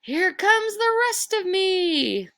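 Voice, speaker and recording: An eerie, menacing ghost man from a children's storybook, voiced by a woman reader. He calls out loudly in drawn-out wails and stern commands, with a creaky edge to the voice. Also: very high-pitched, big pitch swings, pitched above the performer's own voice, heavily acted. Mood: wailing alarm. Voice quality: thin, sad wail or commanding roar. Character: wailing, menacing male ghost assembling his own body.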